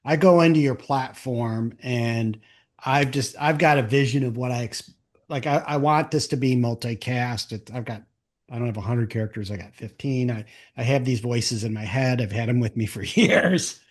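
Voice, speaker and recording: A man's voice: mid-range voice, normal speed. Male podcast-style host, mid-range voice, informal and conversational, working questions out aloud. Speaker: interview host. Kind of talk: casual conversational interviewing.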